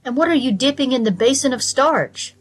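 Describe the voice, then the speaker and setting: Little girl for a children's tale, read by an adult woman: raised pitch, forthright, full of curiosity. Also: expressive, fairly quick, soft background music. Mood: inquisitive. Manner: inquisitive, direct, childlike. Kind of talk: children's storybook character voice.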